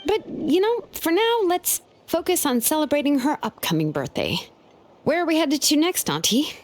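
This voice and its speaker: A woman's voice: sits high, sing-song, moderate pace. A woman playing a confident, friendly, helpful young woman in a video game. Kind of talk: English video game voice acting. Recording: faint game music behind.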